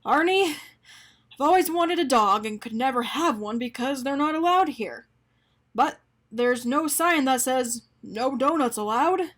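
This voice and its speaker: A fussy but kindly storybook man, acted by a woman, pitched high with plenty of movement in the melody. He is polite and matter-of-fact, like a proper gentleman explaining himself. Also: animated, a little slow, heavily acted. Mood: excited. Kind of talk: animated picture-book character reading.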